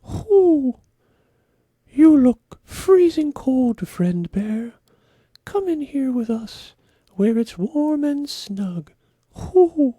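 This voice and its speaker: Loud, high, hooting owl. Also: male voice, big pitch swings, slightly rough, no room sound, clean studio sound, exaggerated voice. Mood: hooting welcome. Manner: loud, hooting, drawn-out delivery. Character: handsome, hospitable owl who hoots between phrases.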